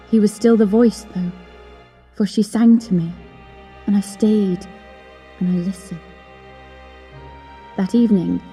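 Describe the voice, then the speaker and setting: A young opera singer tells her gothic tale with earnest, measured wistfulness. Her tone is very dark and mellow at a medium pitch. Long reverb surrounds the voice, and music plays behind it. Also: breathy edge. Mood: uneasy wonder. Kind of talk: dramatic first-person storytelling in an audio drama.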